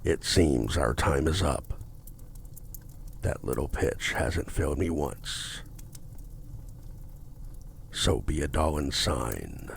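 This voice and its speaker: An extremely deep, heavily gravelly male demon, acted by a man for an ASMR roleplay, drawing out his words slowly. He is smug, possessive and menacing, with a formal, seductive way of bargaining. Faint noise sits behind the voice. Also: expressive, heavily acted. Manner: slow, deliberate, formal, domineering. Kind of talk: intimate ASMR roleplay acting.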